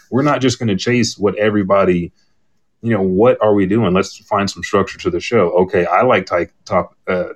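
A man co-hosting a podcast, chatting casually and candidly in a rambling way, often retelling conversations as dialogue. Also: low voice. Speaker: podcast host. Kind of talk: casual chat on a live podcast panel.

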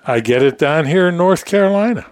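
A man's voice: fairly high pitch, animated, brisk, no room sound. An American man hosting a casual motorsport radio interview, chatting and explaining things for his listeners. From Pittsburgh area, United States. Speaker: radio show host.

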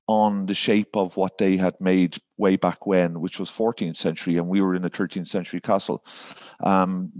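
A man's voice: deep voice, darkish tone, dry recording, lo-fi sound. An Irish man, a garden designer, talking in a podcast interview and rambling with fillers and restarts. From Ireland.

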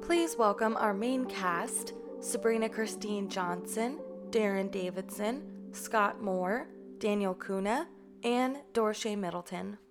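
A woman delivers a prepared podcast introduction as host. Her pitch is average for a woman, and music runs clearly behind her throughout. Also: slow pace. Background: music bed under the voice.

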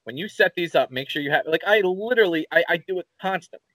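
Philly-accent guy, podcast co-host, slightly high voice, dry and close on the mic, rambling storyteller. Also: fast, no echo, poor audio. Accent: Philadelphia. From Philadelphia area, USA. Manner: rambling, animated storyteller, swears casually. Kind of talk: casual chat.

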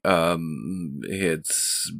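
A man playing a rattled, nervous spaceship crewman in a tabletop game, speaking in halting stammers. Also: deep voice, vocal fry, fairly bright tone, no room sound, studio quality, full character voice.

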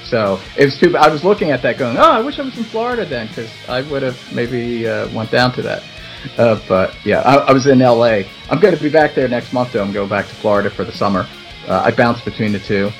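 Man, from the Philadelphia suburbs, podcast host, very animated pitch, teasing, joking, faint music. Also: fairly quick. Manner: joking, teasing, laughs often. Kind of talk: casual chat.

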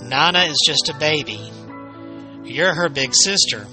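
A stern mother played by a man lectures her young daughter in a horror tale. The delivery is slow and firm, with a very bright, forceful edge over soft music. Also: on the high side, expressive, voice pushed up, full character voice. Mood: scolding. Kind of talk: horror storytelling character voice.

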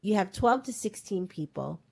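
Casual female podcast talker, mid-pitched, rambling recap full of "like" and "um". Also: expressive, moderate pace, vocal fry. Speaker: podcast co-host. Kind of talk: casual pop-culture chat.

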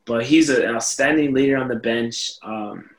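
Casting: mid-pitched man, easygoing, lots of ums, in an echoey room. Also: normal speed. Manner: relaxed, filler-heavy, hesitant. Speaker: podcast co-host. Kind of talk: casual sports chat.

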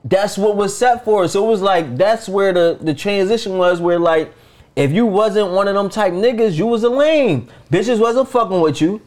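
A man's voice: high-pitched, moderate pace, darkish tone. A man, hosting a casual chat and talking bluntly in street slang. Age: 30s. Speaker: podcast host.

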